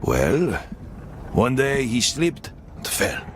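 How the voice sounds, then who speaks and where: A man playing a weary, sly old prisoner in a video game, musing in measured, deliberate phrases. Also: medium pitch, huge pitch swings, background noise. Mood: wry, confiding. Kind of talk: dramatic video game acting.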